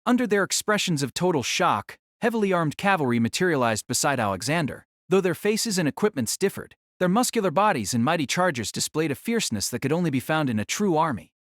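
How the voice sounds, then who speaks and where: A man delivers scripted fantasy narration with theatrical suspense. His intonation is very animated, on a slightly raised pitch, and the recording is dry and close. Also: fast, hardly any pauses, no room sound. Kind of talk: dramatic scripted story narration.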